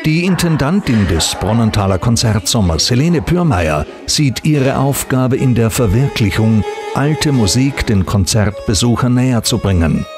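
A man narrating a scripted regional TV news report in an even, measured way. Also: medium pitch. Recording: voice-over with music behind.

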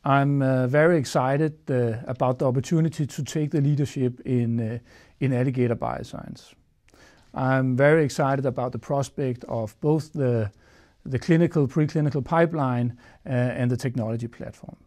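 A man's voice: medium pitch, fairly even intonation, normal speed, a little mellow. A man, a chief executive giving an earnest corporate address with frequent fillers, recorded on a lapel mic in a studio. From Denmark. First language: Danish. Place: studio against a dark backdrop.

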